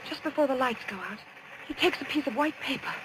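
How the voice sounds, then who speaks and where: A woman's voice: high-pitched, quick pace, lightly acted. On a noisy old radio recording, an actress plays a young woman in a 1940s mystery drama. She is proper and anxious, speaking earnestly with small breathless hesitations. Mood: tense. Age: young adult.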